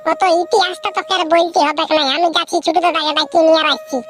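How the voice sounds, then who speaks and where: A man voicing a mischievous little village boy in a comic cartoon, with a Purulia Bengali accent and a pitched-up voice. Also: steady tone, fast talker. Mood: cheeky. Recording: background music throughout.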